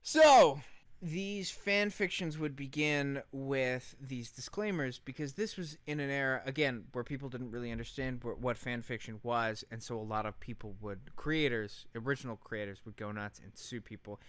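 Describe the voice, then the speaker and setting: A man with a bright, crisp voice tells a story as co-host of a comedy podcast. He is casual and sweary, leaning hard on key words. The sound is close and dry. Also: medium pitch, no echo. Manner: profane, emphatic, deliberate storytelling.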